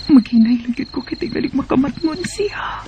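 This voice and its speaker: An actress with a Cebuano Bisaya accent playing an anxious, suspicious, soft-hearted young wife in a radio drama. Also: female voice, high-pitched, expressive, normal speed, noisy background. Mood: hushed, nervous. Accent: Cebuano Bisaya Filipino.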